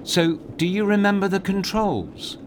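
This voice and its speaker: Guy slowly talking you through flying a plane, instructional, engine hum behind him. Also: high-pitched. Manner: instructive, addresses listener directly. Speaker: narrator, host. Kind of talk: scripted second-person guided flight narration. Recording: steady aircraft cabin noise behind. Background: aircraft ambience and engine hum.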